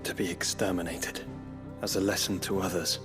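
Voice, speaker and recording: An actor playing a stern, brooding warrior hero in a dark fantasy video game, terse and guarded, with a gravelly, husky voice. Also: male voice, low voice, little pitch movement, normal speed, natural voice. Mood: grim. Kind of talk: understated video game drama. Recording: background music under the voice.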